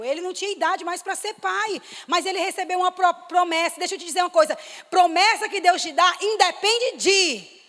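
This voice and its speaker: High-voiced woman preaching in a hall. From Brazil, Fortaleza. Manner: emphatic, builds to excited exclamations. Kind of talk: sermon. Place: church. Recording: reverberant church hall.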